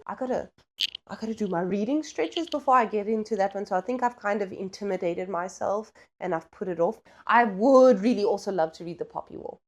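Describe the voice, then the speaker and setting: A woman's voice: mid-range voice, vocal fry, darkish tone. A woman talking casually in a book vlog, chatty and playful, with slangy, self-deprecating asides. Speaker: book vlogger.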